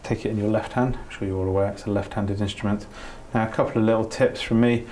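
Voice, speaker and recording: Low-voiced man teaching a practical skill. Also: fairly even intonation, fast talker, warm tone, slight background noise. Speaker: doctor giving a training demonstration. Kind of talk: spoken practical instruction.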